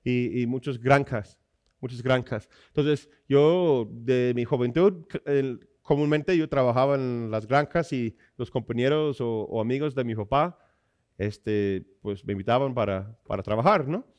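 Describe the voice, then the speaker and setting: A man's voice: medium pitch, a little slow, a little mellow. A man from the American Midwest with an American accent, a native English speaker, preaching in an explanatory way with frequent little checking questions. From Iowa, United States. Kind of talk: sermon.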